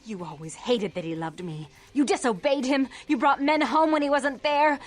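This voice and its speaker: High-voiced, haughty vampire sister. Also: female voice, normal speed, soft background music. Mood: accusing. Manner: haughty, clipped, formal, accusatory. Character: stern, controlling vampire sister, prim and wounded. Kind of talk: dramatic video game voice acting.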